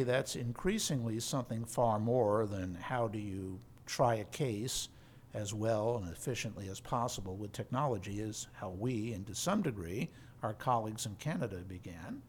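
A man who is a law professor and center director, explaining in a measured, articulate way on a podcast. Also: on the low side, expressive, a little mellow, a little hiss or hum. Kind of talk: podcast interview.

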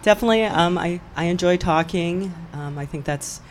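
A woman's voice: on the low side, normal speed, darkish tone, slight background noise. A woman from Hawaii, a guest on a local radio show, telling her story in a chatty way and laughing easily. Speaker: guest, cycling event promoter. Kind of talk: casual radio chat.

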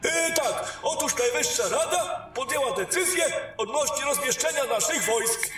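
Fussy fantasy steward, man acting: very high pitch, hesitant, officious, distant in echo. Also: lively intonation, unhurried, sharp tone, full character voice. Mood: nervously formal. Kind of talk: fantasy audio drama acting. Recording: strong echo, distant, faint music.

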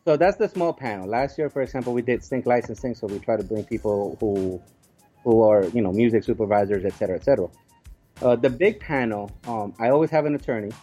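A man speaking as an event organizer and interview guest, explaining casually and a little haltingly with examples. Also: medium pitch, warm tone, faint music behind.

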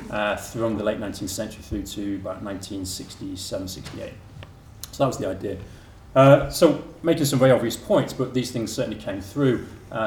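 A man gives a conference presentation in a lecture room, his lowish voice halting and full of fillers and restarts. He is picked up from a distance by the room mic, so the sound is roomy with a faint hiss underneath. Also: a little mellow. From England. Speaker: academic speaker. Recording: echoey room from far off with faint noise.

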